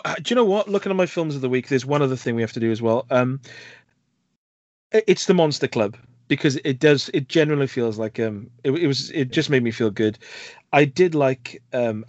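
A man's voice: mid-range voice, no room sound, lo-fi sound. A man with a Welsh accent co-hosting a film podcast, chatting casually and hesitantly with lots of fillers. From Wales. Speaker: podcast co-host. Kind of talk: casual chat.

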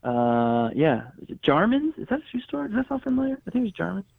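Gravelly-voiced man on a tinny line. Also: high-pitched, wildly swooping pitch, quick pace, no echo. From Florida, USA. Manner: rambling, self-correcting, laughs easily. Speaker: guest songwriter and producer. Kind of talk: casual interview chat. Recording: dry, phone-like line.

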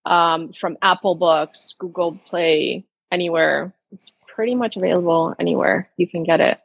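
A woman's voice: on the low side, no echo, poor audio. A woman talking casually as an interview guest, a cookbook author answering questions in a conversational way.

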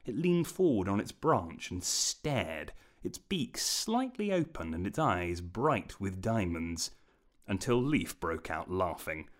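Deep-voiced man narrating a fantasy tale. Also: big pitch swings, takes it slowly. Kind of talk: scripted fantasy story narration.

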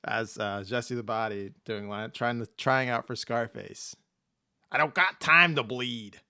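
A man's voice: medium pitch, unhurried, bright, forceful tone, clear, resonant tone, no room sound, clean studio sound. A laid-back man hosting a podcast, chatting casually and laughing as he rambles. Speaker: podcast host. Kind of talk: casual chat.

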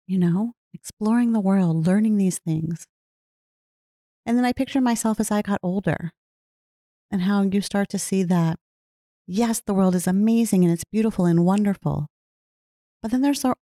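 Woman, earnest, heartfelt, mellow tone, dry close sound. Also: mid-range voice, no room sound. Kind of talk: reflective personal talk.

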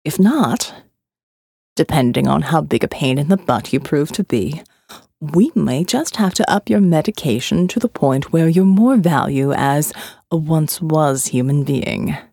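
A woman voicing a smooth, elegant male captor who calmly lectures his prisoner in an audiobook. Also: low voice, unhurried, no room sound, studio quality, pitched below the performer's own voice, exaggerated voice. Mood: smoothly menacing. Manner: calm, smooth and menacing, lecturing. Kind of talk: audiobook character voice.

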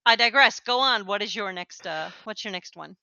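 A woman's voice: medium pitch, moderate pace, sharp tone, no room sound, muffled recording. A woman with an Upper Midwest accent, chatting casually on a podcast, self-deprecating and laughing often. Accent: Upper Midwest American. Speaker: podcast co-host. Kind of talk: casual chat.

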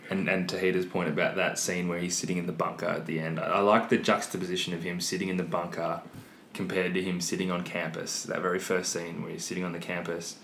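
A man's voice: level tone, fast, gravelly, a bit bright. A man on a film discussion panel, talking through his thoughts in a casual, reflective way. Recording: echoey room, noticeable hiss and rumble.

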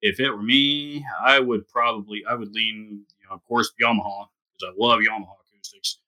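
A man guest in a casual podcast, talking guitars with a low voice. His tone is very bright and forceful. He speaks haltingly, stacking "you know" between his points. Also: fairly even intonation, low-quality recording.

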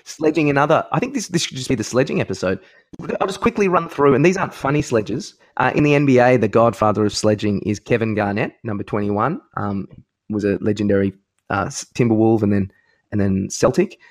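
A man with an Australian accent and a fairly low voice, chatting as a podcast co-host. He tells sporting anecdotes casually, with plenty of swearing and joking. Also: a little fast. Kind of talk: casual comic chat about sport.

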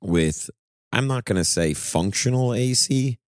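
A man co-hosting a podcast in casual chat, animated, laughing at himself and swearing freely. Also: mid-range voice, unhurried, no room sound, clean studio sound. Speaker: podcast co-host and touring musician.

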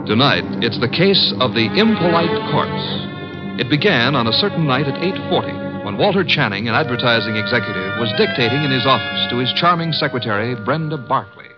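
A man's voice: mid-range voice, lively intonation, a little fast. A man announcing a 1950s radio drama in a grand, declaiming manner. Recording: dull lo-fi 1950s broadcast with music.

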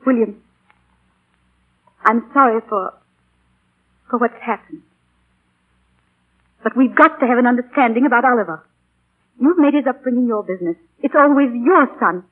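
A woman's voice: fairly high pitch, moderate pace, long pauses, close to an everyday voice. A woman playing a strict, righteous, embittered wife and mother in 1940s radio drama, with a severe, emphatic, accusing manner. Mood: pained, firm. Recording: tinny, narrow 1940 radio broadcast.

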